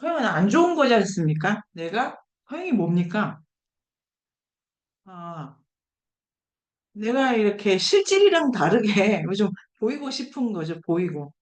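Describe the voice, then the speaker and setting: A woman's voice: medium pitch, sing-song, unhurried, long pauses, roomy sound. A woman lecturing informally to a seminar group, thinking aloud, posing questions and repeating key words for emphasis. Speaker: lecturer leading an online book seminar.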